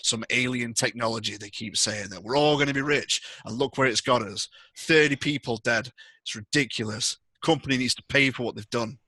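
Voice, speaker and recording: Man acting out burly game survivor, blunt, exasperated, forceful bright voice, dry close sound. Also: medium pitch, fairly quick, dry recording. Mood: bitter, exasperated. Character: burly male colony survivor, bitter and alarmed.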